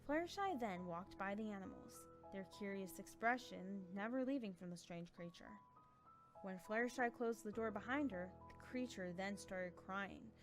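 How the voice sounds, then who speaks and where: A woman's voice: medium pitch, lively intonation, normal speed. Woman narrating a story over faint music.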